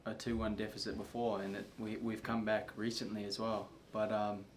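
A young man, a soccer player, answering questions at a post-match press conference in a subdued, halting way with many fillers. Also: on the low side, little pitch movement, a little hiss or hum. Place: press room before a sponsor backdrop.

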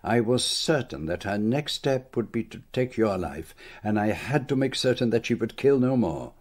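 Shrewd male detective, low voice, formal, measured, composed, radio play. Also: animated, a little mellow. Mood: grave, certain. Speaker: actor playing a detective. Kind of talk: radio drama acting.

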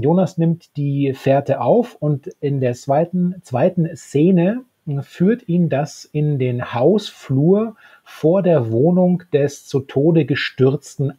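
A man's voice: on the high side, dark tone, breathy edge, no room sound. A man in his thirties casually discussing and retelling a story as a podcast co-host, often breaking off and restarting his sentences. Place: small book-lined home room, indoors, remote video call. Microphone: handheld-style recorder close on desk. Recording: dull, muffled podcast recording.

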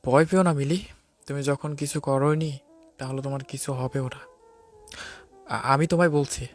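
A man playing a stern, commanding investigator with a cold, vengeful streak in an audio drama, speaking deliberately. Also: medium pitch, fairly even intonation, lightly acted. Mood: reassuring. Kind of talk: dramatised audio love-crime story. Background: music.

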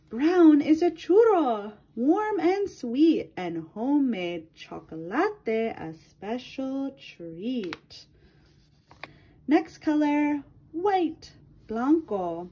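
A woman's voice: a little high, dark tone, slightly rough, lo-fi sound. A woman reading a picture book aloud to children in a sing-song manner, addressing her young listeners directly.

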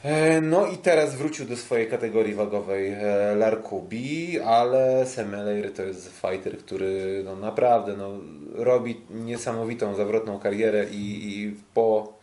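Male sports podcast host, lowish voice, halting delivery, recorded in an echoing room. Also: dark tone. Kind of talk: casual sports podcast discussion. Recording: roomy, echoing sound.

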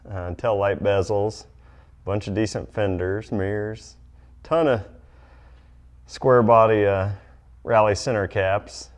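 A man in his forties filming a casual vlog, chatty and upbeat, with plenty of fillers. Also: on the low side. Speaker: vlogger, truck parts seller. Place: garage, driveway and large exhibition hall.

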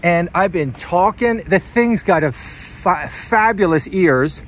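A man's voice: high voice, lively intonation, slow pace. A man giving a casual, hands-on demonstration in a plainspoken, enthusiastic way, as a hobbyist vlogger. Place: inside a parked vehicle and outdoors in a driveway. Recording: clear background noise from car and outdoors.

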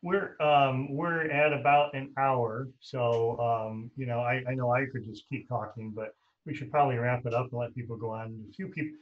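A man's voice: medium pitch, fairly even intonation, normal speed, dull audio. Middle-aged man hosting a video call. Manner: loose, rambling, self-correcting. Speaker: host. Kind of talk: casual video-call chat. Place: home room with bookshelves.

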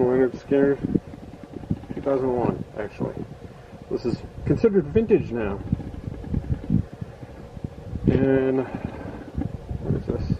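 Slow-paced middle-aged man, noisy home recording. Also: mid-range voice, lo-fi sound. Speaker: reseller showing storage unit finds. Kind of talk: casual handheld show-and-tell. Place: small cluttered home office, indoors. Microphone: handheld phone or camera.

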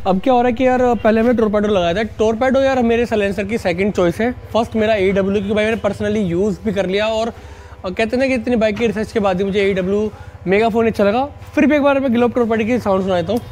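Young dude, highish voice, chatty informal vlogger talking to viewers outside, drops english words. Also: male voice, quick pace, darkish tone, a little hiss or hum. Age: 20s. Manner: informal, addresses viewers as buddies. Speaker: motorcycle vlogger and presenter. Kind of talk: casual vlog chat with English words mixed in. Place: narrow residential street outdoors. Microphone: wired lavalier microphone.

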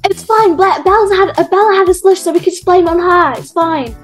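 Woman as squeaky, sly little girl. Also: a little fast, faint music behind. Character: show-off, sly little girl swimming pupil. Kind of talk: improvised game roleplay.

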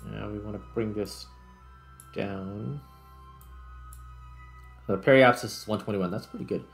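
A man with a fairly low voice talks through a video game in a casual way. He thinks aloud and explains as he goes, with some music playing behind him. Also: a little slow, gravelly, a bit bright. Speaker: gaming video host. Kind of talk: casual gameplay commentary.

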